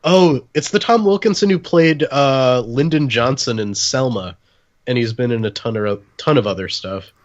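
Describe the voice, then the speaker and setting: A man of medium pitch talks in a slightly muffled, lo-fi recording. He is a casual, slangy podcast panelist who tosses out wisecracks as he chats. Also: moderate pace. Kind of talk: casual comedic chat. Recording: slightly dull, lo-fi microphone sound.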